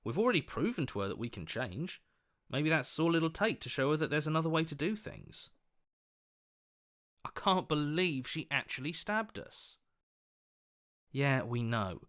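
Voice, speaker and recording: A man voicing an earnest, hopeful young hero in a video game, expressive and quick to protest. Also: a little high. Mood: hopeful, hurt.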